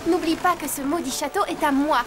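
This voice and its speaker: Very high, spirited cartoon little girl played by a woman. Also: a little fast, over loud music, lightly acted. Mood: playfully haughty. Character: spirited little girl, cheeky, proud, playfully grand. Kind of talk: French cartoon dub acting.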